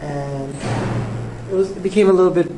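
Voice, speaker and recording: Soft-spoken New artist in a roomy studio. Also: male voice, high voice. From Cuba, raised in New York. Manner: soft-spoken, unhurried, with frequent ums. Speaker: visual artist, interviewee. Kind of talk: relaxed interview answers. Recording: roomy studio, faint background noise.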